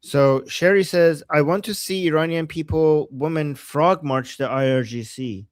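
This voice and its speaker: A man's voice: on the high side, no echo. Chuckling man reading viewer chats, dry sound. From Iran. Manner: chuckling, halting, restarts sentences. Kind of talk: casual livestream chat.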